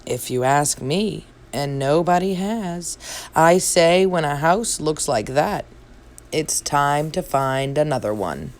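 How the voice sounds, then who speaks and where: A woman voicing a gloomy old male donkey in a storybook, slow, resigned and plodding in manner. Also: low-pitched, lively intonation. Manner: glum, plodding, matter-of-fact. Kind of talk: storybook read-aloud.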